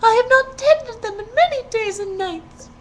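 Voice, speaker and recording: A woman voicing a sweet, sorrowful young peasant maiden in a storybook tale, earnest and breathy. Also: animated, moderate pace, a bit bright, slight background noise. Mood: distressed. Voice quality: high, breathy.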